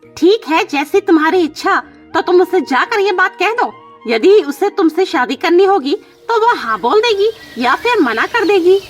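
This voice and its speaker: Casting: woman as male crow sidekick, kids' cartoon. Very high voice, frank, blunt, questioning. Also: big pitch swings, moderate pace, faint music behind. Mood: advising. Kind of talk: Hindi children's cartoon story dialogue.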